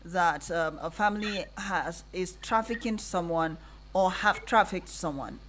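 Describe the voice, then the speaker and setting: A woman's voice: lowish voice, unhurried, a bit bright, slight background noise. Empathetic woman hosting radio. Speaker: radio host. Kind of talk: conversational radio interview.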